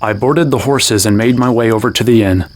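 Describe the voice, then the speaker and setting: An actor playing a wry, kindly young man in a fantasy audio drama, narrating and speaking with gentle, self-deprecating earnestness. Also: mid-range voice, brisk, natural voice. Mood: measured. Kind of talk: acted first-person narration and dialogue.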